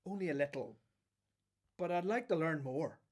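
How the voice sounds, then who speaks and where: Man acting earnest young seeker, lively delivery. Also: fairly high pitch, normal speed. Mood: earnest, respectful. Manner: questioning, earnest. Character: young John the Baptist, earnest, searching, polite newcomer. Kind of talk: religious radio drama acting.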